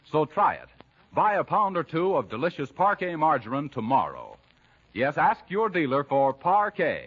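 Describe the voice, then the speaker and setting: A man announcing in 1940s radio style, with polished, emphatic scripted delivery like a sponsor's pitch. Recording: muffled 1940s radio recording.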